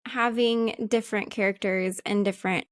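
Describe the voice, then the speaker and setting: A soft-spoken woman hosting a casual interview, chatty and full of "like" fillers. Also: medium pitch, steady tone, normal speed, no room sound, studio quality. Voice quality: soft-spoken, not loud.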